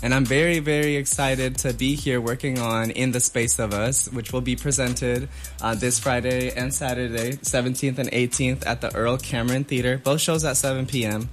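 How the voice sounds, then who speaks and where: A man's voice: mid-range voice, fairly even intonation, moderate pace, soft background music. A man from Guatemala, a visiting dancer, introducing himself politely on a radio show. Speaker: guest dancer. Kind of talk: radio interview self-introduction.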